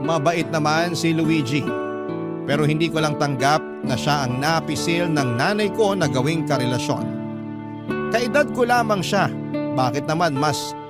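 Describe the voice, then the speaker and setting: A man with a Filipino accent reads a scripted first-person story as a radio drama narrator, in an expressive, sentimental way. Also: fairly high pitch. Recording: loud guitar music underneath.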